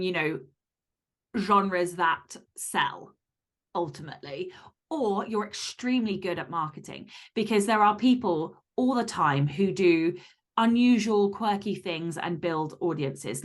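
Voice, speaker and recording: Blunt, low-pitched woman giving advice. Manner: blunt, emphatic, sweary. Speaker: author and podcaster guest. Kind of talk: interview advice, conversational.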